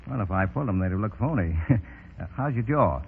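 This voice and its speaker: Tough cocky ex-policeman, swinging pitch, clipped speech, muffled 1930s radio drama. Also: lively intonation, fairly quick, gravelly, slight background noise. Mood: confiding. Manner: punchy, taunting, clipped tough-guy talk. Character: tough cocky undercover ex-policeman playing racketeer.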